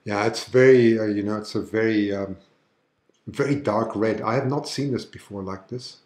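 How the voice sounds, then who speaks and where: A man's voice: on the low side, normal speed, darkish tone, echoey room. A man from Germany with a mixed international accent, hosting a live eclipse stream from his backyard at night, talking in an enthusiastic, chatty way and often restarting his words. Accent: mixed international with German roots. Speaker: livestream host showing an eclipse. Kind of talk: live commentary.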